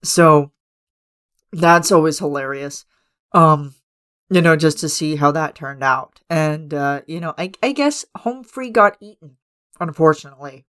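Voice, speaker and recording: Hoarse man rambling through a podcast. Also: a little high, unhurried, a bit bright. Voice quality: raspy. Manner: rambling, many fillers and restarts. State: hoarse, losing his voice; congested, coughing. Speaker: podcast host. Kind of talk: casual solo podcast chat. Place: his room at home.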